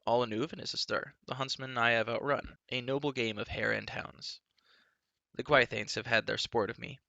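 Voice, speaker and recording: A man voicing a proud, bitter young prince who speaks low and hoarse with cold contempt. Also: fairly even intonation, moderate pace, bright, forceful tone, dry recording, highs cut off. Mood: mocking.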